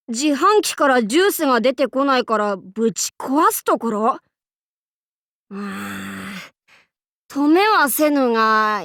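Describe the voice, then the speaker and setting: Androgynous young warlord, high voice, dry close sound, brash playful swagger, old-fashioned lordly speech, anime game character. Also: female voice, fairly bright tone, no echo, full character voice. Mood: bemused.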